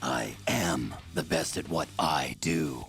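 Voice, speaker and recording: A man voicing a gruff tough-guy mutant hero in a video game skit, with a gravelly growl. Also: extremely low pitch, slow, a bit bright, soft background music, exaggerated voice. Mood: gruff, unsure.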